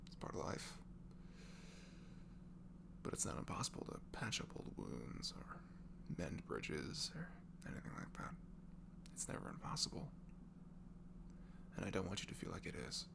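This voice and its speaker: A young man softly leaving an intimate, improvised comfort message in ASMR style, tender and reassuring, murmuring and often slipping into a breathy whisper. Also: low voice, huge pitch swings, normal speed, pauses often. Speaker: amateur audio performer playing a comforting friend. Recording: clearly noisy home recording with hum.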